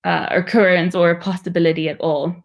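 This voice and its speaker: A young woman giving a reflective personal account in a thoughtful, measured and candid way. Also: on the low side, flat delivery.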